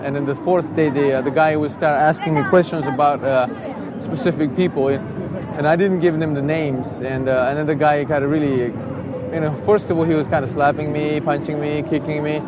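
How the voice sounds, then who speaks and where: Guy telling his story outside, bit high voice, halting, traffic noise behind. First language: Tibetan. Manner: halting, with many fillers. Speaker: interviewee. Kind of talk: interview testimony.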